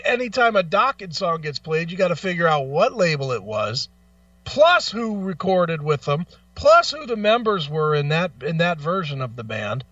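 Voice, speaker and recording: Male talk host, high voice, profane and exasperated opinion delivery, dull dry recording slightly off-mic. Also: sharp tone, no room sound, recorded from a distance. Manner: blunt, profane, exasperated, emphatic. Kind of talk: opinionated casual radio talk.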